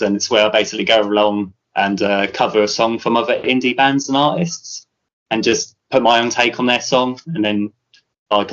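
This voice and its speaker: A man's voice: fairly low pitch, fairly bright tone, lo-fi sound. A British man, a musician guest, chatting casually in an interview, rambling with many fillers and restarts.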